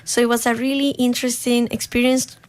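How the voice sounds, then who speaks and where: A woman's voice: fairly high pitch, flat delivery, brisk. A young woman from Rica, hosting a live radio chat in a studio, upbeat but hesitant with many fillers. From Costa Rica. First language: Spanish. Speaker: radio host. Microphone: studio microphone.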